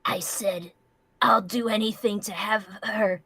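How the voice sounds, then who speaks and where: A woman's voice: medium pitch, steady tone, measured pace, sharp tone, slightly rough, no room sound, full character voice. A woman voicing an earnest, defiant, wounded male cartoon pony in a story reading. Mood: desperate.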